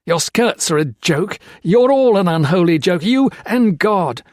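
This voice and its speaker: A man reading a story voices a young officer who rants and shouts in hysterical fury. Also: sits high, expressive, unhurried, no room sound, clean studio sound, voice pushed up. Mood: contemptuous. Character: young British officer, feverish, furious, ranting.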